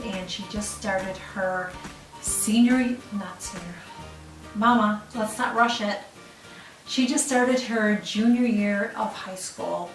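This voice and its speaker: A woman's voice: mid-range voice, far from the mic. Chatty middle-aged Midwestern woman, casual to-camera talk, roomy off-mic sound. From Chicago, US. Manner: chatty, rambling with fillers. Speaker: vlogger. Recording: roomy room, off the mic, faint music.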